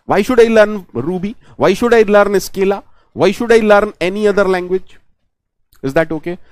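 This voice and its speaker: A man's voice: high-pitched, normal speed, no room sound, clean studio sound. A man with an Indian English accent, a native Hindi speaker, giving an online programming lecture, repeating his phrases and answering questions on the students' behalf. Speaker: programming teacher.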